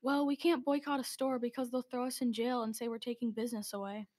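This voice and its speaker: Woman as a high-voiced nervous teenage boy. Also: level tone, quick pace, a little mellow, natural voice. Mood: uneasy. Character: nervous but willing teenage boy, college student. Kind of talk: amateur radio drama acting.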